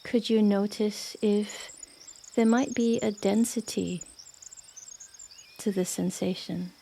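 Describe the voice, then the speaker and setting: A woman guiding a yoga nidra meditation in a gentle, unhurried manner with long pauses. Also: medium pitch, steady tone, gravelly, breathy edge. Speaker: meditation guide. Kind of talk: guided yoga nidra meditation, soothing narration.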